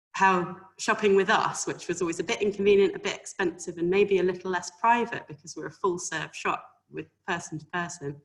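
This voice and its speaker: Female shop owner speaking as a panelist, lower register, conversational first-person account. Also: fairly bright tone.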